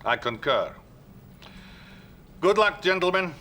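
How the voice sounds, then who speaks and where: Animated, courteous Soviet premier. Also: male voice, high-pitched, slow, a little hiss or hum, heavily acted. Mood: gracious. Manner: formal, gracious.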